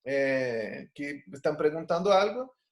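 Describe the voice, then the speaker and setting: A man's voice: on the high side, very animated, slow, roomy sound. A man from Brazil, a native Portuguese speaker, teaching an online Bible study over a video call. He talks earnestly, with frequent fillers and restarts.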